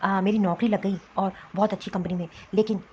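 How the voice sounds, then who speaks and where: A woman's voice: mid-range voice, steady tone, fairly quick, warm tone, lightly acted. A woman voicing an earnest, reproachful young husband torn between his wife and his family in a cartoon drama. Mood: resigned. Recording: dull lo-fi sound, faint noise.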